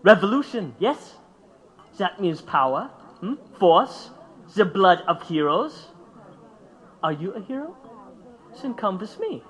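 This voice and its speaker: A man's voice: high voice, sing-song, takes it slowly, pauses often, a bit bright, full character voice. A man playing a young immigrant anarchist in an audio drama, taunting and intense, speaking simple broken English. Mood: scornful, fervent. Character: young fiery German immigrant anarchist bomb-maker, reckless and bitter.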